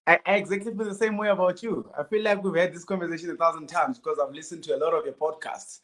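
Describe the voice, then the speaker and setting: A man's voice: fairly high pitch, fairly even intonation, fast talker, fairly bright tone. A South African man in his thirties telling his own story casually as a guest, in short sentences with quoted dialogue. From Limpopo, South Africa. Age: 30s. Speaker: podcast guest, amateur runner.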